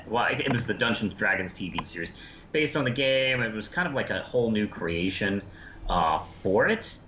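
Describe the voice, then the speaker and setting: A man co-hosting a review show, explaining things in casual chat with halting pauses. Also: on the low side, sing-song, bright, forceful tone. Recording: dull, roomy sound with faint noise.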